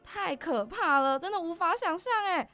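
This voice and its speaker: Woman acting a high-voiced, dramatic career woman. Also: animated, normal speed, pushed and bright, faint music behind, heavily acted. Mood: dismayed, resolute. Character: capable career woman, secretly anxious about never having dated. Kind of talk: podcast radio drama acting.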